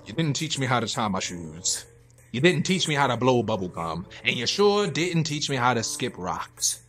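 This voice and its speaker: A dramatic, sentimental husband played by a man whose voice swings high and low. He blusters and repeats himself in a folksy, theatrical way, on stage in a comic radio play with music behind. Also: sing-song, normal speed, fairly bright tone. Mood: mournful, bitter. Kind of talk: live radio drama improv comedy. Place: live show on a theatre stage.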